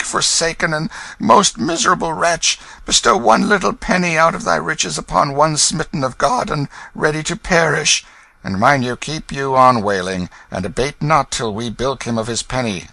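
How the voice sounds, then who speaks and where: A crafty young beggar-thief, voiced by a male narrator sneers and bullies in a raised, bright voice. He shifts between scoffing and whining, all of it dry and close to the mic. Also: a little high, dry recording, pitched above the performer's own voice. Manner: scornful, wheedling, bullying.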